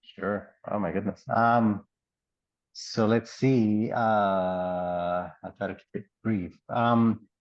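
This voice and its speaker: A middle-aged man from the Dominican Republic and Puerto Rico, an artist in residence, telling his life story in a relaxed, rambling way with many fillers and laughs. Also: on the low side, slow pace, dry recording, dull audio. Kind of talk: oral history interview.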